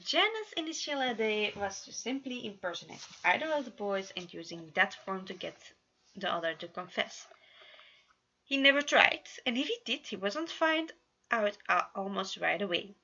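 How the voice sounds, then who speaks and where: A woman narrates a story in a bright, forward voice at a middle pitch. She reads expressively, like an amateur, with the odd stumble. The recording is slightly dull and lo-fi. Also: moderate pace. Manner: expressive, with occasional stumbles over words. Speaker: fan fiction narrator.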